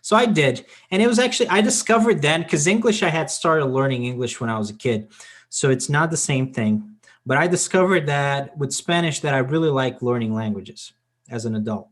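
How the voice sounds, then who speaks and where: A man's voice: a little high, expressive, brisk. A man from Portugal with a Portuguese accent, chatting casually with many "you know"s and "I mean"s and repeated words at the start of his phrases. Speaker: conversation partner. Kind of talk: casual conversation.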